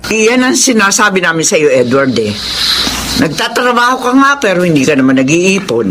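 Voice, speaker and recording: A Filipino-accented man playing a gentle, pious, forgiving older parent of grown children in a radio drama. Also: sits high, big pitch swings, normal speed, noisy background. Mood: gently admonishing. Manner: earnest, admonishing, soft-hearted.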